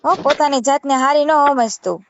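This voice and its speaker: High-pitched, scolding Saurashtra Gujarati woman. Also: normal speed, noisy background. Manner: scolding, assertive, repetitive. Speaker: channel creator taking a call. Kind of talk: argumentative phone conversation. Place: phone call. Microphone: phone. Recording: muffled phone call recording.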